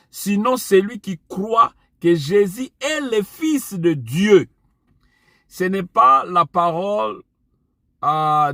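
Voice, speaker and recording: Slow, gravelly preacher. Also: male voice, a little high, a bit bright, dry recording, studio quality. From Angola. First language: Portuguese. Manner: slow, deliberate preaching with repeated refrains. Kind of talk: online sermon.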